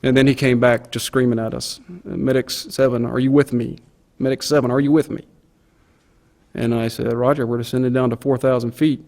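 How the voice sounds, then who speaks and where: A man's voice: medium pitch, little pitch movement, a little fast, darkish tone. A middle-aged man, a pilot, recounting an experience informally in a plain-spoken, vivid way. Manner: plain-spoken, vivid, acts out quoted radio calls. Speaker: airline pilot giving a recollection. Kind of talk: informal spoken recollection of an event.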